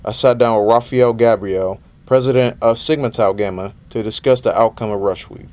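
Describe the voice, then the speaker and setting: A man's voice: medium pitch, moderate pace, a little mellow. A young man narrating a scripted report as a campus news reporter. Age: young adult.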